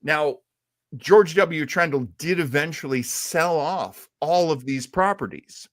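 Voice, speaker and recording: A man co-hosting a live talk show about old radio, telling its history as an expressive storyteller. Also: on the high side, slow. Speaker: co-host.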